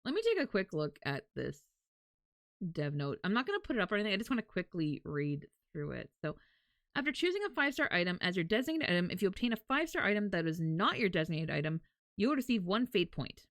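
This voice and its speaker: A woman livestreamer with a lively, swinging voice talks casually to her viewers. The sound is dry and close, and her way of talking is loose and informal. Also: medium pitch, fast, dry recording. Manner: informal, mild swearing. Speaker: livestreamer reacting to a game announcement. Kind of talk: casual live reaction chat with viewers.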